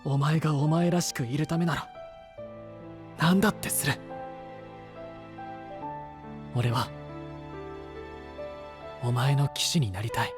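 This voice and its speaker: An actor playing a brash, devoted young knight-to-be who talks bluntly and casually in an anime-style voice drama. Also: male voice, fairly high pitch, a little slow, long pauses, music in the background, lightly acted. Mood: earnest devotion. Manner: blunt, casual rough masculine speech. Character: brash, earnest young male knight-to-be, rough-spoken but devoted.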